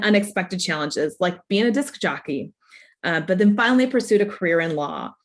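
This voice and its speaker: A woman professor presenting at an online awards ceremony, speaking informally in an earnest, explanatory way. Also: medium pitch. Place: online video call.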